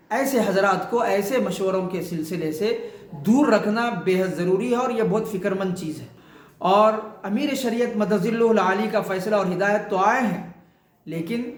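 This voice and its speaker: High-pitched man, formal statement, echoey room. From India. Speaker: imam council leader giving a public statement.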